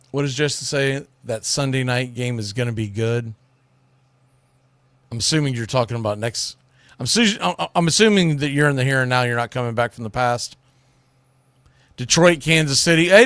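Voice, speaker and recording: A man's voice: a little high, sharp tone, smooth, no room sound, clean studio sound. A man hosting a sports talk show, giving casual, opinionated commentary in colloquial language, speaking straight to his listeners.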